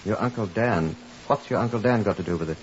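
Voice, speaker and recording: Actor as a stern, deep-voiced police superintendent. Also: male voice, fast, close to an everyday voice. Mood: impatient. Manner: curt, commanding. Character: stern, impatient male Scotland Yard superintendent. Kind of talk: 1950s radio drama acting, with scripted narration. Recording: muffled, hissy 1950s radio recording.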